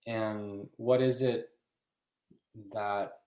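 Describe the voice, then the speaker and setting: Man, moderator, lowish pitch, reflective interview style with halting phrasing. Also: fairly even intonation, darkish tone, a little hiss or hum. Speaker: discussion moderator. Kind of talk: thoughtful post-show interview.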